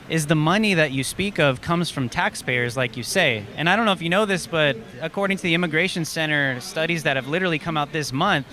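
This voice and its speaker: Man, confrontational street interviewer, slightly raised pitch, outdoors. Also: pushed and bright. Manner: pushy, probing questions.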